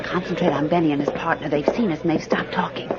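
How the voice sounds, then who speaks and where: An actress playing a wry, sharp-witted girlfriend of a detective in an old-time radio drama, teasing and sardonic. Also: sits low, fairly even intonation, quick pace, very noisy, close to an everyday voice. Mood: watchful. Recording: dull old radio recording, background voices.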